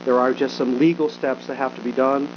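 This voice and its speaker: A man speaking unscripted as a government official at a briefing, measured and halting, with frequent filled pauses. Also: medium pitch, fairly even intonation. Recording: dull broadcast audio with clear hum.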